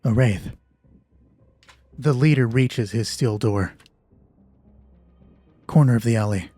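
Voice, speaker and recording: A man narrates a dramatic horror roleplay in a mellow, husky voice. His phrasing is measured and deliberate, and the recording is dry, with some music underneath. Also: mid-range voice, no echo. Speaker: host and narrator of a solo roleplay channel.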